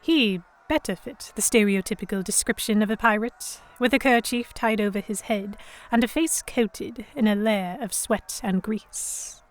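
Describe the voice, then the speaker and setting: A woman playing an anxious, indignant young captive who narrates her ordeal in expressive, first-person storytelling for an audio drama. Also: medium pitch, moderate pace, few pauses, close to an everyday voice. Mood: wry, reluctant.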